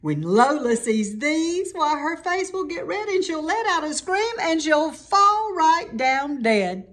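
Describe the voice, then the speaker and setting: A woman voicing a vain, excitable young girl bird in a children's storybook, with emphatic exclamations. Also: high-pitched, slow pace, voice pushed up, exaggerated voice. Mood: smug, gloating. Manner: exclaiming, emphatic, pleading and boasting. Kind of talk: children's storybook read-aloud.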